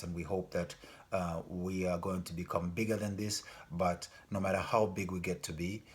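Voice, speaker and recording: Earnest lowish-voiced man making an appeal. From Denmark. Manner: earnest, repetitive, loses his thread with fillers. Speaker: festival organizer. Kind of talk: informal spoken appeal.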